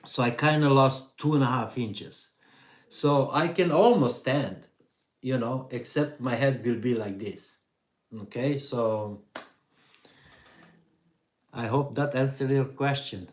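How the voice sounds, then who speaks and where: Slow-talking Hungarian-accented man. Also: medium pitch. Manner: slow, relaxed, pauses with fillers. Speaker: vanlife channel host. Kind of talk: live stream Q&A reading chat.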